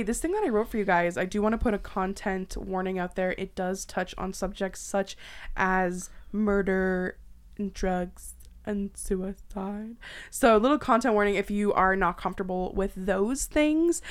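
Woman podcast host, casual chat, informal, excitable, mid-pitched. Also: moderate pace.

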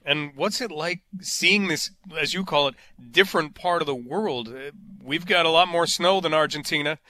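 A man's voice: a little high, normal speed, sharp tone, no room sound. A man hosting a radio interview, asking earnest, leading questions. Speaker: radio host.

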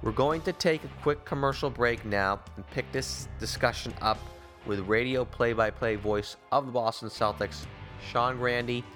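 An American man fronts a sports podcast in a forceful, bright voice. His phrasing is wordy and rhetorical, built for commentary and promo reads. Light music sits behind him. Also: medium pitch, measured pace.

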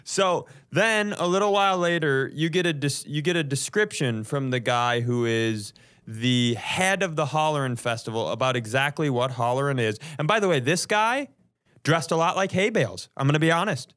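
A man hosting a podcast in casual comedic chat, telling stories in an animated way with mock-serious build-ups. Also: a little high, moderate pace. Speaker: podcast host.

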